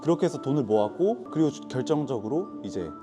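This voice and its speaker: A young man in his twenties answers interview questions about his work, with a lively rise and fall in his voice. His pitch sits in the middle range, and a clear music bed plays under the recording. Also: fast. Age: 20s. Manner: plain, matter-of-fact storytelling. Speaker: guest, lodging business owner.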